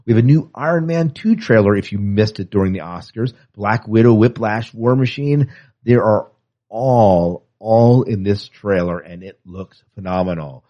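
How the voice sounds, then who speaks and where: A man with a fairly low voice co-hosts an entertainment news podcast. He talks casually, like an opinionated, enthusiastic fan. The recording is a bit dull and lo-fi. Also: moderate pace. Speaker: podcast co-host.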